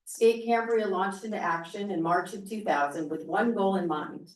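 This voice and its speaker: A woman reads a prepared public comment at a community meeting, projecting loudly. She sits a little away from the mic, and the room throws back a strong echo. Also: mid-range voice, normal speed. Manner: loud, projecting voice. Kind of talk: reading a prepared statement. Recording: echoey meeting room, voice from a distance.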